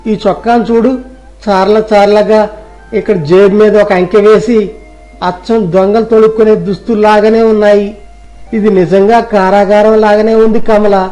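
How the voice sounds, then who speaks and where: A man's voice: high voice, flat delivery, moderate pace, warm tone, soft background music, close to an everyday voice, low-quality recording. A man playing an aggrieved working husband who complains plaintively to his wife in a dramatised moral story. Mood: aggrieved, pleading.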